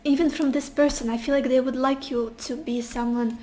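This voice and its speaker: A woman giving a casual pick-a-card tarot reading while losing her voice, speaking gently and reassuringly straight to the viewer. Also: sits high, fairly even intonation, fairly quick. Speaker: tarot reader.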